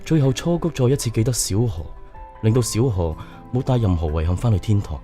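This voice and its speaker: An actor playing an earnest, polite young suitor in a radio drama, with a Hong Kong Cantonese accent. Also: male voice, lowish voice, big pitch swings, fairly quick, mellow, faint music behind. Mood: curious, reflective. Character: earnest, polite young man shyly courting a woman.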